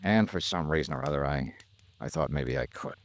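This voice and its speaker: An actor playing a weary hardboiled American investigator with a soft spot, in 1950s radio detective drama style. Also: male voice, very deep voice, fairly quick, no room sound. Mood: somber, reflective. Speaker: lead actor and first-person narrator.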